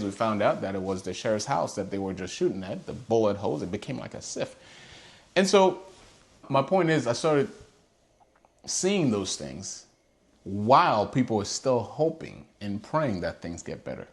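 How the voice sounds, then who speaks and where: A man's voice: on the low side, lively intonation, normal speed. A man from Haiti hosting his own channel, talking earnestly and passionately to camera and asking pointed rhetorical questions. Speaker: host. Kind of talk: earnest personal monologue to camera.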